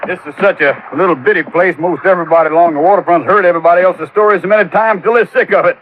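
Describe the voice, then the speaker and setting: A man's voice: sits high, very fast, lightly acted. An actor playing a talkative, plainspoken waterfront boat repairman with a Southern drawl in a 1950s radio drama. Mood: chatty, proud. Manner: rustic, chatty, colloquial drawl. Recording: dull, noisy old radio recording.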